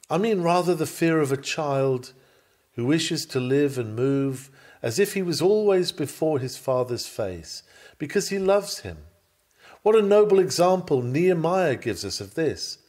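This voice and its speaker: A man reads a written sermon aloud in a measured, earnest, rhetorical manner. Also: mid-range voice, a little mellow. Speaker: reader of a sermon.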